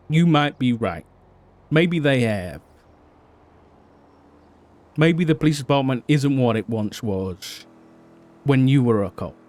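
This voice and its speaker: A man playing a serious, patient lawman in an improvised roleplay phone conversation, speaking in measured, terse phrases. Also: medium pitch, a little mellow. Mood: measured, resigned. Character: sober, patient lawman questioning a fallen former colleague.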